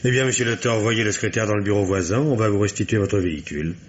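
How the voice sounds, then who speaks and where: Low-voiced, dry French police commissioner acted by a man. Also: fast talker, close to an everyday voice. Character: senior French police commissioner, dry, shrewd, patient, ironic. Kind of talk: French radio mystery drama acting. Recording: dull, noisy old radio recording.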